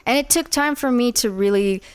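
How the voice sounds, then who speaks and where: Young woman, musician guest, smooth resonant voice, dry close sound, chatty and animated. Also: on the high side, a little fast, no echo. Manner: animated, acts out her own inner voice. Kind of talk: casual podcast interview.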